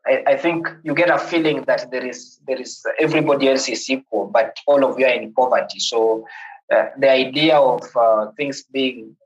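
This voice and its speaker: A man's voice: medium pitch, moderate pace, muffled recording. A man from Kenya, with a Kenyan accent, in his thirties, telling a podcast host his life story in a reflective way and often repeating words as he begins sentences. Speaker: guest.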